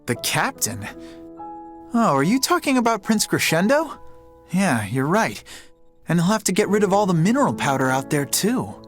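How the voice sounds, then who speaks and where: A woman's voice: on the low side, very animated, moderate pace, faint music behind. A woman voicing a brash, determined teenage boy hero in a video game, blunt and casual in manner. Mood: curious. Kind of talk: animated video game voice acting.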